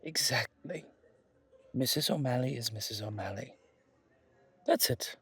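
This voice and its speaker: A man's voice: mid-range voice, a little slow, fairly bright tone, slightly rough, exaggerated voice. A man playing a charming, flirtatious male museum guide in an audio roleplay, chatting intimately with genteel, hesitant asides. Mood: earnest, firm. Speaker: character performer in an audio roleplay. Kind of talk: intimate ASMR roleplay monologue, conversational.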